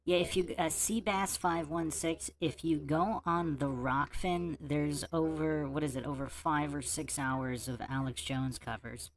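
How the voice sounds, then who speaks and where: Slow-talking livestream host. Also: male voice, on the high side, steady tone, slight background noise. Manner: unhurried, restarting words while fixing audio settings. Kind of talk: casual livestream Q&A chat.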